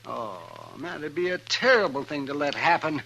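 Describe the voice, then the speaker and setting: A dependable, kindly older American doctor of the frontier. His voice is bright and a little high, thoughtful and folksy in radio-western style. Faint noise sits on the old recording. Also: expressive, normal speed, poor audio. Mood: grave, willing. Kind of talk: 1950s radio western acting.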